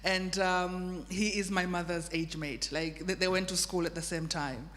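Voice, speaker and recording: A woman's voice: lowish voice, fairly even intonation, normal speed, fairly bright tone. A woman from Zanzibar whose first language is Swahili, speaking English as a translator in a panel discussion, thoughtful and laughing easily, with many restarts. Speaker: literary translator. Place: festival stage in a reverberant hall. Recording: long hall reverb.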